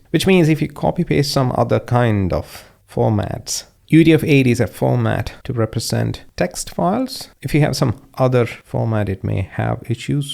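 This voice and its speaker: A man's voice: lowish voice, creaky, warm tone. A middle-aged man from Kerala with an Indian English accent, explaining a coding demo step by step in a conversational way. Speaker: course instructor. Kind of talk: screen-share coding tutorial.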